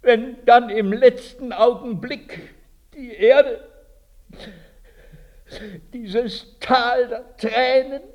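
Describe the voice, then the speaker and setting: An actor playing a guilt-ridden village priest in a radio drama, confessing slowly and accusing himself. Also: male voice, very high voice, steady tone, heavily acted. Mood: anguished questioning. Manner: slow, confessional, rhetorical questioning.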